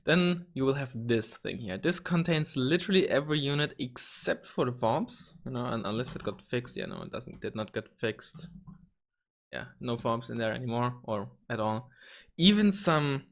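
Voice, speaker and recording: A slightly hoarse young man hosting a casual gaming tutorial, rambling with many ums and restarts and the odd swear word. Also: medium pitch, normal speed. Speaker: gaming channel host. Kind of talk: casual screen-recorded tutorial.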